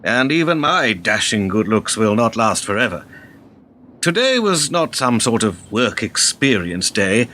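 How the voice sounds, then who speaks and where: A low, sharp-edged male voice over faint noise, an ageing political fixer in a radio play. He is measured and worldly, a smooth talker with a cynical view of how things work. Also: very animated, exaggerated voice. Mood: grave, persuasive. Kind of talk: radio drama acting.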